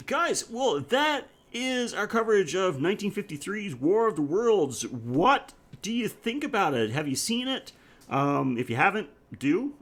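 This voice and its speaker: A man's voice: high voice, gravelly, fairly bright tone. A man co-hosting a podcast, chatting casually through the show's sign-off and listener plugs. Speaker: podcast co-host. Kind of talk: casual podcast outro chat.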